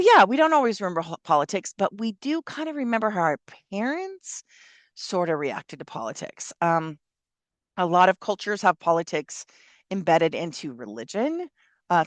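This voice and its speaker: A woman's voice: on the low side, no echo. A woman from Southern California, an older college instructor, explaining an essay assignment in a chatty, encouraging way with lots of fillers. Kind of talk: casual classroom explanation.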